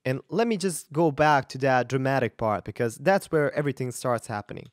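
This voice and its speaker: A man in his thirties giving casual, expressive reaction commentary as a vocal coach, speaking emphatically into a close studio mic. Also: medium pitch, brisk, soft background music. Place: small indoor home studio. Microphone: large studio mic up close, headphones on.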